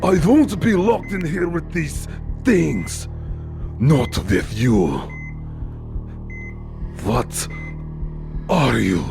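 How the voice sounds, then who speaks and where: A man playing a panicked male researcher who talks in a desperate, shouting way. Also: medium pitch, animated, drawn-out words, creaky, pauses often, heavily acted. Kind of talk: audio drama acting. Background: music.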